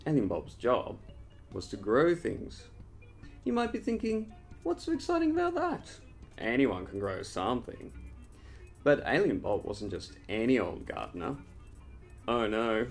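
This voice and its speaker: A man reading his own children's story as an expressive storyteller, addressing young listeners directly. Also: medium pitch. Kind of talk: animated scripted storytelling for children.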